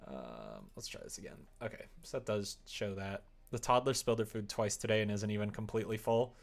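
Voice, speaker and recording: A creaky-voiced livestreamer chatting casually and thinking aloud while coding, rambling, muttering and a little self-deprecating. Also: mid-range voice, animated, fairly quick. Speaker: livestreamer making a game.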